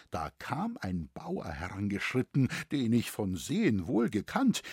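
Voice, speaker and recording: A man's voice: a little high, slightly rough, dry recording, clean studio sound, voice pushed up, full character voice. A man voicing an honest, agitated blacksmith in a story, with rustic, old-fashioned, deferential speech. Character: breathless, burly male blacksmith, honest and agitated.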